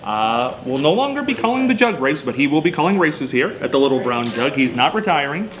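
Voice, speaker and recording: A man hosting a live radio broadcast from an outdoor racetrack event, with brisk, upbeat broadcaster patter. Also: on the high side, sing-song. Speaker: radio show host. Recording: live radio remote with loud crowd.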